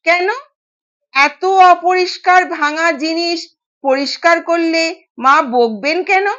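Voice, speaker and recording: A woman's voice: very high-pitched, slow pace, dull audio, exaggerated voice. A woman voicing a kind young newlywed daughter-in-law in an audio story, polite and earnest. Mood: puzzled. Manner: gentle, polite, quietly insistent.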